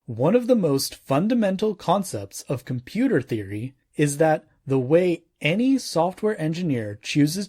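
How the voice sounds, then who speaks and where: A man reading a scripted non-fiction audiobook in a formal, measured way with deliberate phrasing. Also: fairly high pitch, a little mellow, husky. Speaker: audiobook narrator.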